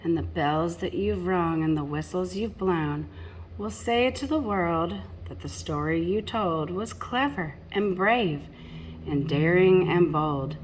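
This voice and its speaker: A woman's voice: fairly low pitch, slight background noise, rough recording. A woman reading a picture book aloud to children expressively, addressing young listeners directly with chatty asides. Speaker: storybook reader.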